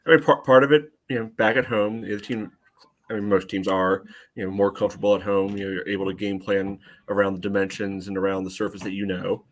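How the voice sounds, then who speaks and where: A man with a fairly low voice gives casual sports analysis as a podcast co-host. He hedges as he goes, padding his points with frequent "you know"s. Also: level tone, brisk. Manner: hedging, peppers talk with "you know". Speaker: co-host of a soccer fan podcast.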